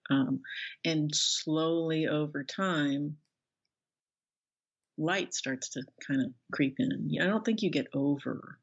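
An older woman speaking as an interview guest, thoughtful and conversational, pausing as she searches for words. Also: sits low, slightly rough. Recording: slightly dull, band-limited audio.

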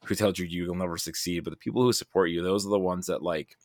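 Lower-voiced man, casual chat. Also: steady tone, fast talker, a little mellow, no room sound, studio quality. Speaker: podcast guest, writer.

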